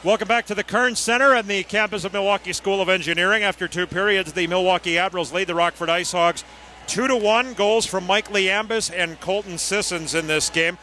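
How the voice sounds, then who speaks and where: Bright, high-pitched male sports host. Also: a little fast, a little hiss or hum. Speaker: radio play-by-play host. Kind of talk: live sports broadcast interview. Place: hockey arena.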